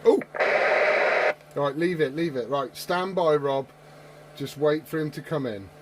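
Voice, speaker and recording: A man's voice: a little high, a little slow. A man chatting casually over CB radio, joking and swearing freely. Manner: storytelling with tall tales. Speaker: CB radio operator. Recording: very noisy CB radio with clicks.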